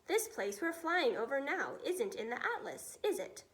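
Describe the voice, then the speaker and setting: A woman's voice: sits high, very animated, moderate pace, fairly bright tone. A woman voicing a cheerful, fearless young male pilot in a storybook, breezy and untroubled.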